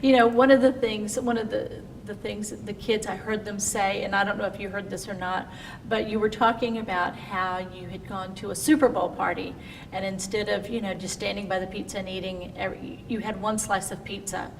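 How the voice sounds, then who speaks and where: A woman's voice: medium pitch, steady tone, fairly quick. A woman host leads the interview, rambling and restarting her questions. She sounds distant from the mic. Her voice echoes in a large hall over faint noise. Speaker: show host.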